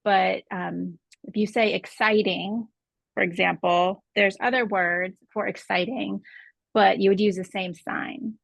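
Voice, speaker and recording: A woman's voice: medium pitch, steady tone, unhurried, gravelly, a bit bright, dry recording. A woman guest on a podcast, explaining things patiently in a casual conversation.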